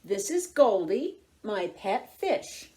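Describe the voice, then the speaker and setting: A woman voicing a friendly, eager young cat boy from a picture book in a bright, earnest way. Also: mid-range voice, very animated, slow pace.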